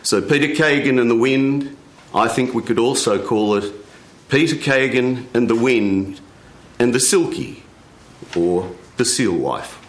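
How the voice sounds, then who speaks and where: A man telling a folk tale live in a measured way, with dramatic pauses. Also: medium pitch, fairly bright tone, echoey room. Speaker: storyteller. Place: radio studio with live audience.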